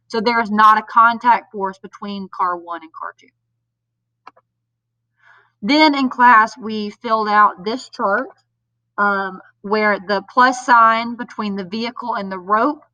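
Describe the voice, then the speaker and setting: A woman's voice: medium pitch, sharp tone, no room sound, recorded from a distance. A woman teacher, sounding nasal from a cold, patiently explaining homework to parents step by step with frequent ums. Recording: dull lo-fi sound a bit off mic.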